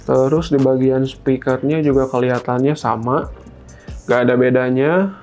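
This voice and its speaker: A man's voice: medium pitch, steady tone, moderate pace, a little mellow, soft background music. A man hosting a product unboxing in an informal, explanatory, step-by-step way. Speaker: product review host. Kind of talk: casual unboxing presentation to camera.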